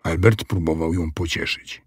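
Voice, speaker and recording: A man narrating a children's story in an expressive, scripted storytelling style. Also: low-pitched, normal speed, breathy edge, no echo, studio quality.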